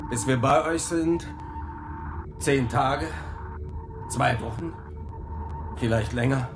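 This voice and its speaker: An actor playing a gruff, loyal, hot-tempered middle-aged submarine commander in a radio drama, blunt in his speech. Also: medium pitch, slow pace, over music. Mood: grim.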